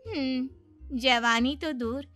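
A very high, piercing middle-aged neighbour woman from a cartoon moral story, acted by a woman. She gossips excitably and exclaims as she talks. Soft music sits behind the voice. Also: very slow, heavily acted. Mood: complaining.

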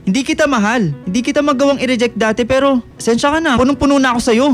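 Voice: high voice, very fast, lightly acted. A performer playing an aloof, irritated young male college student in a radio drama, curt and dismissive. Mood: angry, fed up. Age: young adult. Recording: music bed under the voice.

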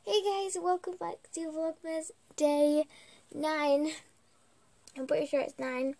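A woman's voice: high voice, fairly even intonation, vocal fry, dark tone. Teen girl losing her voice. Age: teens. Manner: chatty, rambling, slow and hesitant with many ums. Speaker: vlogger. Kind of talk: casual vlog chat. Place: small bedroom at home. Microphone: webcam or phone at arm's length.

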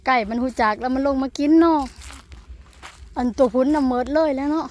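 A woman's voice: sits high, steady tone, a little fast, darkish tone. A woman with a northeastern Thai accent chats casually to her viewers as a vlog host, addressing them often, recorded outdoors in the countryside. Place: outdoors at a rural home and garden. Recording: noisy outdoor recording with clucking chickens.

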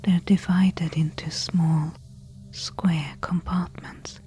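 Woman doing careful eager temple explorer for asmr roleplay, hushed mellow voice, a bit low, deliberate. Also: flat delivery. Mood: quiet wonder. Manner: hushed, slow, deliberate whispering. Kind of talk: soft-spoken ASMR audio roleplay.

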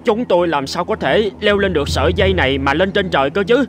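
A man's voice: high-pitched, quick pace, a little hiss or hum, natural voice. A man voicing a deferential royal messenger in a folk-tale cartoon, humble and formal. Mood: dismayed. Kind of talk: Vietnamese cartoon dubbing.

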